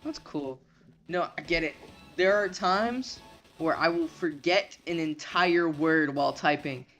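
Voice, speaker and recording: A man's voice: fairly high pitch, a little slow, a bit bright. Rambling young man streaming, faint music behind. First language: Spanish. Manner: rambling, restarts often, reassuring. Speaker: livestreamer. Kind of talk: casual live-stream chat with viewers.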